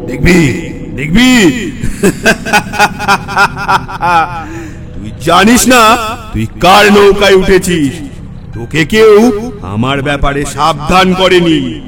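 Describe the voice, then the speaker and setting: A man playing the menacing, vengeful ghost of a drowned boatman in a horror audio story, taunting in drawn-out words with cackles. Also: high-pitched, lively intonation, music in the background, exaggerated voice. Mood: gloating, threatening.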